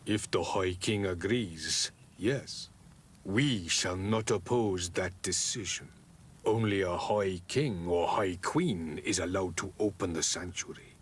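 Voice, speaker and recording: An actor playing a wise, solemn elder of an island tribe in a fantasy game, speaking in a measured, ceremonial way. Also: male voice, sits low, husky, heavily acted. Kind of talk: fantasy video game cutscene acting.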